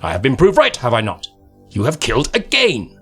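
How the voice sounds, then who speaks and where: A man's voice: medium pitch, huge pitch swings, brisk, fairly bright tone, husky, soft background music, full character voice. A man reading aloud and voicing an arrogant male god-king with a haughty, imperious manner. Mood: scornful.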